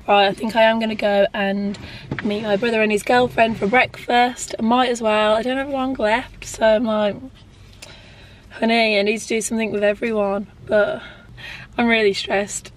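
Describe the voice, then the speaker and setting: A woman in her twenties with a Birmingham accent and a hoarse, lost voice, chatting candidly to camera in a vlog from inside a car. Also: mid-range voice, slight background noise. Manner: rambling, candid, frequent "like". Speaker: vlogger. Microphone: handheld camera close up.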